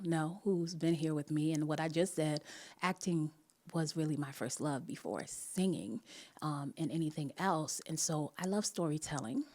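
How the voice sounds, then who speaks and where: Woman, Jamaican accent, lowish voice, chatty, earnest, laughing between phrases, live talk host. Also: warm tone. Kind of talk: personal storytelling, motivational chat.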